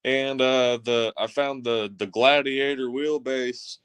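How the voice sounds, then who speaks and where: A man chatting casually, eagerly swapping notes about his hobby. His voice is mid-pitched and very dry, with no room sound around it. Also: fairly even intonation, takes it slowly, a bit bright, smooth, dry recording. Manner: enthusiastic hobby talk. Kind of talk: casual chat.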